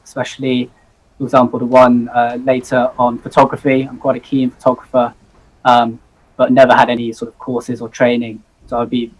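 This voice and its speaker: A young man in his twenties joins a live show by video call, earnest and eager, with frequent ums and uhs. His pitch is average and stays quite flat and even, and he comes across through a laptop-style call microphone. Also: poor audio. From Hong Kong. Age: 20s. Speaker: zoology student guest.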